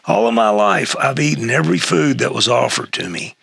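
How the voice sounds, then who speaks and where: Gravelly, husky older American man reading aloud. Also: medium pitch, very animated, a bit bright, dry recording. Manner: halting, with throat clearing and chuckles. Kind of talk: reading a personal humorous essay aloud.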